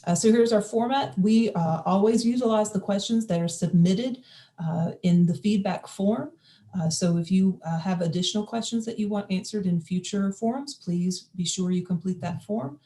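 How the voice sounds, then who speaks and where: A woman's voice: mid-range voice, dark tone. A woman organizing an online forum, giving housekeeping announcements with many hesitations. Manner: halting, many uh fillers.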